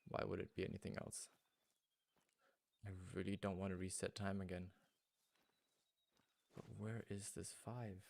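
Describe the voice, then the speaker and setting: Male streamer, German native, deep and flat delivery, casual running chat with viewers. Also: measured pace, gravelly, long pauses. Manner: thinking aloud, greeting chat viewers. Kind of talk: casual gaming livestream chat.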